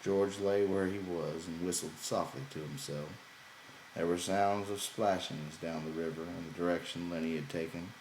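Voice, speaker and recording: Male audiobook narrator, deep register, literary prose reading, roomy sound with faint noise. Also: steady tone, moderate pace, a little mellow. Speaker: audiobook reader.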